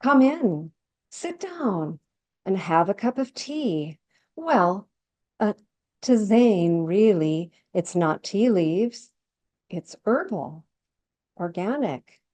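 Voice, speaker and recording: A woman's voice: mid-range voice, mellow. A woman reading a dramatic monologue as a chatty, sly older gardener serving herbal tea with coy, falsely sweet politeness. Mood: welcoming.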